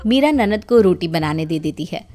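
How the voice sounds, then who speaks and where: A woman narrating a dramatised story in an expressive, conversational way, as a storyteller. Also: fairly low pitch, moderate pace.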